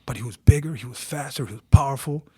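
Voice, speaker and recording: Interview guest: husky man in his forties from Tonga, animated storyteller, dry close mic. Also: mid-range voice, fast talker, no echo. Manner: animated storyteller, acts out quotes.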